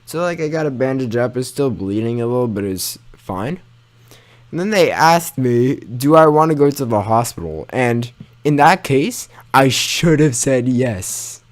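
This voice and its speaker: A young man with a medium-pitched voice tells a personal story as if for his gaming channel. His delivery is informal and loose, with some mild swearing. He talks about himself in a self-mocking way. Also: animated, unhurried.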